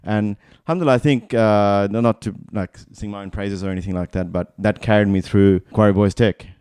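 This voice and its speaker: A very deep-voiced man in his thirties, born in Bangladesh, chatting as a podcast guest. His tone is warm and smooth, and he thinks aloud, circling round his point and correcting himself as he goes. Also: fairly even intonation, fairly quick, dry recording, clean studio sound. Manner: thoughtful, meandering, self-correcting. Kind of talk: casual conversation.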